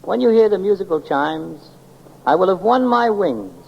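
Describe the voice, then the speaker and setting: A man playing a gentle, solemn guardian angel in a 1940s radio drama, with measured pronouncements. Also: high voice, animated, vocal fry, full character voice. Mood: gently solemn. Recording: muffled 1940s radio broadcast, music behind.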